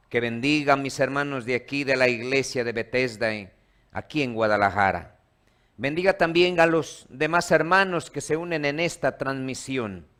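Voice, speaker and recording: Slow-speaking preacher from Ecuador. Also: male voice, mid-range voice, fairly bright tone. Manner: call-and-response, quizzing the congregation. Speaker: pastor. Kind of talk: sermon to a live congregation. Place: church hall.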